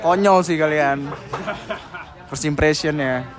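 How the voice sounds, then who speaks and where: A man's voice: fairly high pitch, slow, over music, crowd noise. A young man answering interview questions outdoors in a rambling, slangy way full of fillers. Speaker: senior member being interviewed.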